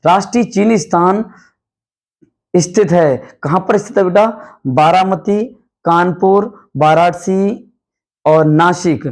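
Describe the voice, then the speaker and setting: Hoarse high-voiced Hindi teacher. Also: male voice, slow pace, pauses often. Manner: calls listeners "beta", repeats questions and answers. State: hoarse from night classes. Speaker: coaching teacher. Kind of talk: quiz-style exam revision lesson.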